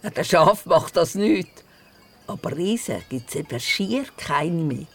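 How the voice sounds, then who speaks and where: A woman's voice: sits low, lively intonation, unhurried, husky, full character voice. A woman playing a spirited, matter-of-fact older grandmother telling stories in Swiss German dialect in a radio play. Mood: storytelling, lively. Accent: Swiss German, Glarus area. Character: spirited storytelling grandmother of a young boy.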